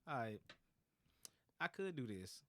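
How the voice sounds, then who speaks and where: A man's voice: mid-range voice, very animated, unhurried, sharp tone. A man in his late twenties co-hosting a podcast, chatting casually and animatedly, often laughing and acting out his own thoughts. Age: late 20s. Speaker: podcast co-host. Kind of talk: casual chat.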